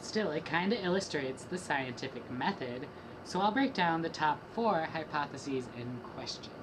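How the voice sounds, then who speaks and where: Low-voiced young woman reading rap verses. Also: animated, moderate pace, background noise. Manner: rhythmic, trading lines with a partner. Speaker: student reader. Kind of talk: dramatic reading of rap lyrics.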